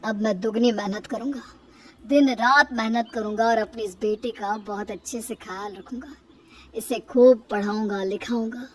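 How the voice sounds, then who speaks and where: A woman's voice: fairly high pitch, fairly even intonation, moderate pace, recorded from a distance, slight background noise, voice pushed down, natural voice. A woman voicing an earnest, devoted village husband and new father in a moral-story cartoon. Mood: resolved.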